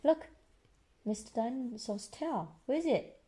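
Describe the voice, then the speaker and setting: A woman reading a children's story aloud in an animated style, explaining simply as she goes. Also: on the high side, unhurried, slight background noise.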